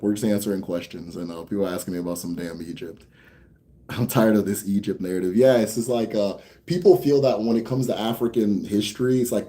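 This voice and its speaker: A young American man hosting a casual Q&A for viewers. He talks bluntly, swears freely, rambles a bit, then slows down to explain. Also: on the low side, mellow. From United States. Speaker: host answering viewer questions. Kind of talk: casual livestream Q&A.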